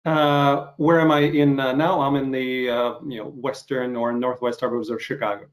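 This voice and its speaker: A middle-aged man from Ukraine hosting a casual interview over a video call, talking in a halting, rambling way with frequent restarts. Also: mid-range voice, little pitch movement, rough recording. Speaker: interview host.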